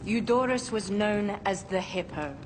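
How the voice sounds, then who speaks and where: A woman's voice: mid-range voice, unhurried, over music, lightly acted. Woman acting a haughty, decadent young queen. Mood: grave, commanding. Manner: imperious, theatrical. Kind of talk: video game cutscene acting.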